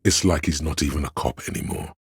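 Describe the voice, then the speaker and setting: A man playing a solemn, all-knowing inner-voice narrator in a video game, with a gravelly, husky voice and a measured, literary delivery. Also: extremely low pitch, dry recording, clean studio sound, heavily acted. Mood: wry, weary. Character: omniscient, solemn inner-voice narrator of police camaraderie. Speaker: voice actor narrating a character's inner voice.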